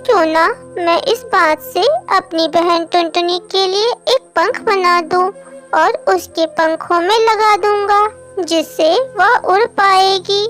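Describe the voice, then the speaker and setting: Woman voicing a very high-pitched childlike little cartoon bird. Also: steady tone, takes it slowly, fairly bright tone, full character voice. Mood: thoughtful, hopeful. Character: small young songbird, childlike, earnest and kind. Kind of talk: children's cartoon dubbing in Hindi. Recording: music behind the voice.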